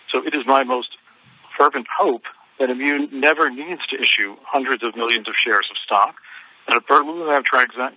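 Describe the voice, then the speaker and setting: A man reading a formal, measured prepared statement as a company chief executive on a conference call. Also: medium pitch, sharp tone. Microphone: telephone. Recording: dull telephone conference line.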